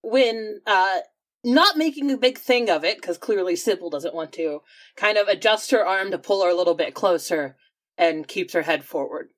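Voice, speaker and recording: A woman's voice: mid-range voice, lively intonation, normal speed. A woman playing a tabletop roleplaying game, improvising casually with terse, wry remarks.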